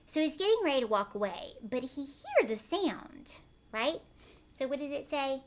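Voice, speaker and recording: A woman reads a children's story aloud as a teacher would, explaining and putting questions to her listeners. Her voice sits a little high and moves with very animated, expressive intonation. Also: normal speed, slight background noise.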